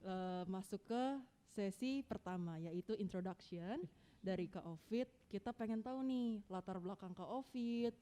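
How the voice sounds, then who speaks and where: A woman's voice: mid-range voice, moderate pace, dark tone. A young woman co-hosting a student podcast, asking friendly questions. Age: young adult. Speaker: podcast co-host. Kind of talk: casual podcast chat.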